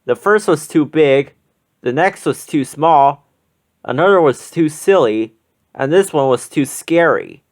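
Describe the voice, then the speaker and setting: An animated reader tells a children's picture book aloud. The pitch sits in the middle and moves up and down widely, giving the narration an expressive, storytelling shape. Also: measured pace.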